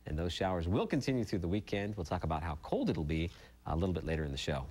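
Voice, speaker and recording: A man's voice: deep voice, expressive, fast, darkish tone, breathy edge. A man with an American accent anchoring a TV morning newscast, reading news and chatting informally.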